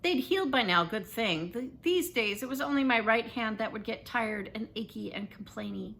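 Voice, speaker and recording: A woman with a fairly high, very bright voice reads a middle grade story aloud. Her delivery is animated and expressive, told in the first person like dramatic storytelling for children. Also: moderate pace, vocal fry, slight background noise. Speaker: author reading aloud.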